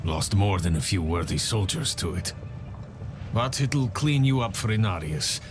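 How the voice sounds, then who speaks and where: Game soldier: gruff but friendly, burdened by guilt, deep register, slow and downbeat. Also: male voice, over music. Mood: wry, grave. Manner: wry, downbeat, understated. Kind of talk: dramatic game dialogue.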